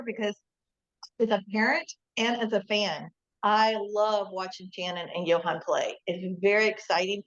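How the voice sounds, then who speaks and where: A middle-aged woman and parent answers podcast questions in an upbeat, cheerful way. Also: a little high. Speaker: club owner and parent. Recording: dull, lo-fi sound.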